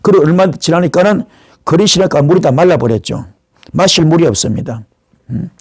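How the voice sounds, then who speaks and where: A man's voice: fairly high pitch, a little fast, slightly rough, no echo, clean studio sound. A man preaching as a pastor, retelling Bible stories like a storyteller, acting out dialogue and posing rhetorical questions.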